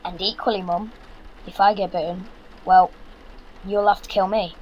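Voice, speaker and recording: An earnest young British son in a zombie audio drama , acted by a young man. He is practical and bossy, instructing in a light, high voice. There is faint background noise. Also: level tone, normal speed, dull audio, close to an everyday voice. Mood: grave, hesitant. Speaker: actor.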